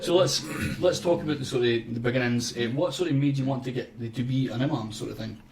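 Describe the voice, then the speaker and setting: Brief: male host, loose relaxed questions, mid pitch, echoey distant sound. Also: fast talker. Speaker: podcast host. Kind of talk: interviewing.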